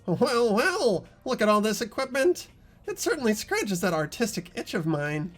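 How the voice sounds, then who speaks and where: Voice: medium pitch, big pitch swings, normal speed, faint music behind, voice pushed up. A performer voicing a pompous, nerdy young male anime fan in a game read-aloud. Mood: pompous, geeky.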